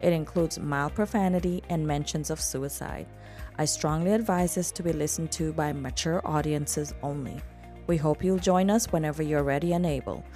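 Woman, podcast host, slightly low voice, presenter-style delivery, faint music behind. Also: dark tone. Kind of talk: scripted podcast introduction and interview.

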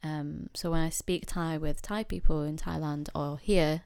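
A young woman talks in a relaxed, wry way, often pausing on fillers. Her voice sits low, with a gravelly edge and a dark, mellow tone. The recording is dry and close. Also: no room sound. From England. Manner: reflective, wry, lots of fillers and quoted voices. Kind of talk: casual conversation.

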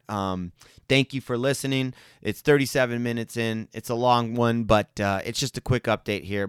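A man's voice: mid-range voice, a bit bright, clear, resonant tone, dry recording, studio quality. A young man hosting his own podcast, chatting casually and rambling with lots of fillers, restarts and slang. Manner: rambling, laid-back, many fillers and restarts; slangy, says man and guys. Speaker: podcast host. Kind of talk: casual solo podcast chat.

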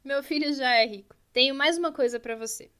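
A woman's voice: high voice, a little fast, pushed and bright. A woman doing a kindly old witch.

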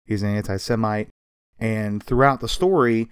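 A man's voice: fairly low pitch, steady tone, normal speed, smooth, dry recording. Casual man co-hosting, close dry sound. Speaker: podcast co-host. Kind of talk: casual conversational explaining of comics history.

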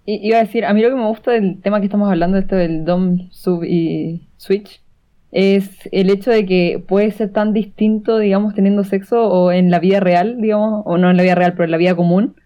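A woman's voice: mid-range voice, fairly even intonation, brisk, highs cut off. A woman co-hosting a podcast, speaking hesitantly and often searching for words. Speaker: podcast co-host. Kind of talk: casual chat.

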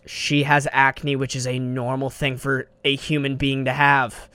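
A man's voice: medium pitch, completely flat delivery, a little slow, pushed and bright, clean tone, no room sound. A young man hosting his own podcast alone, rambling casually in slang with plenty of swearing, on a desk mic. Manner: rambling, slangy and profane, says bro a lot. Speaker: podcast host. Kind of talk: casual solo podcast monologue. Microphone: studio mic on a desk.

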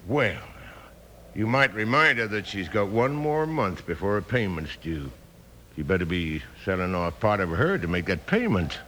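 A man playing a surly, scheming rancher villain with a gruff American drawl in an old-time radio western. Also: deep voice, very animated, moderate pace, fairly bright tone. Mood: suspicious. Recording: noisy old radio recording.

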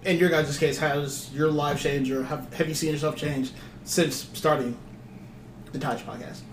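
A young man guesting on a podcast, asking questions in a relaxed, slangy way. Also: a little high, measured pace, slight background noise. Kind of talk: casual podcast chat. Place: small room, group podcast recording. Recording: echoey room.

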